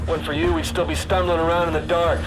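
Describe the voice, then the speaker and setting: High-pitched, forceful man acting as a soldier. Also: little pitch movement, fast, music in the background, natural voice. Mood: wry. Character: driven male soldier in the field. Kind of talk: scripted action game drama. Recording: loud score and battle noise.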